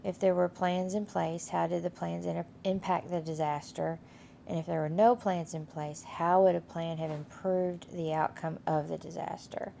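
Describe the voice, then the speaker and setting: Woman instructor, stuffy with a cold, gravelly and flat, rambling and full of fillers. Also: fairly low pitch, normal speed, a little hiss or hum. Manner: rambling with many fillers and restarts. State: has a cold, stuffy nose. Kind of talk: casual screen-share course walkthrough.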